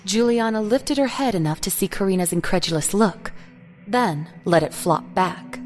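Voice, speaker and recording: A woman's voice: mid-range voice, normal speed, darkish tone. Female narrator for scripted audio drama, mid-range voice, music bed behind.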